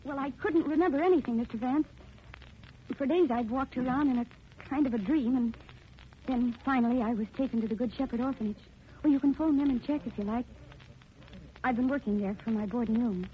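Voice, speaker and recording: On a muffled, faintly noisy 1940s radio recording, an actress plays a brash young woman. Her voice sits fairly high, and she talks in period slang. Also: fairly quick, close to an everyday voice. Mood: earnest, subdued. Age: young adult. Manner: slangy, cocky. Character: brash, defiant young woman claiming an inheritance. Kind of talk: 1940s radio drama acting.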